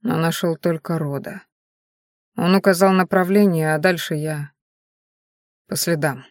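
A woman's voice: deep voice, fairly even intonation, no room sound, studio quality. Woman acting a frantic, guilt-racked werewolf man. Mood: numb, hollow. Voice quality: strained, breaking. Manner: desperate, halting, repeating himself. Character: anguished adult male werewolf, frantic, half-mad with guilt.

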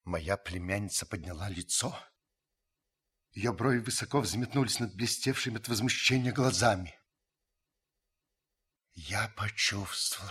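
Observant uncle recalling wartime in radio play: husky voice, expressive melody, measured literary storytelling. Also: male voice, fairly low pitch, full character voice. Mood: troubled. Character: reflective, observant French uncle narrating his wartime memories. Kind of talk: radio drama narration.

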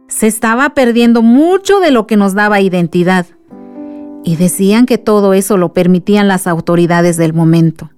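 An actress with a Mexican accent playing a thoughtful village aunt, narrating earnestly in a radio drama. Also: female voice, mid-range voice, a little mellow, clean tone, close to an everyday voice. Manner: earnest, storytelling. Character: thoughtful village aunt recounting the town's past. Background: music.

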